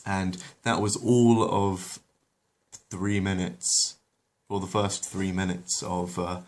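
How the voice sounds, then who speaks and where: A man narrating a retold cartoon episode like a bedtime story, then chatting with hesitant pauses. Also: deep voice, little pitch movement. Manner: hesitant, with many pauses when off-script. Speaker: storyteller, channel host. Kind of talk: reading a retold episode aloud, then casual chat.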